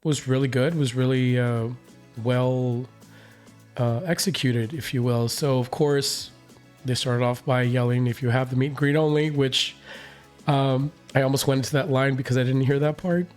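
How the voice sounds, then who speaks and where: Hoarse male vlogger, mid pitch, rambling casual recap, faint music underneath. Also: fairly even intonation, moderate pace, darkish tone. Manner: rambling, many fillers and restarts. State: hoarse, recovering voice. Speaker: reaction channel host.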